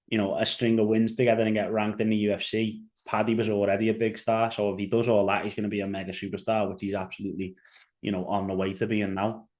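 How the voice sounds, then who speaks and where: Low, flat-voiced Liverpool man. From England. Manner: rambling, many fillers and restarts. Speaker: podcast host. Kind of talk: casual solo commentary.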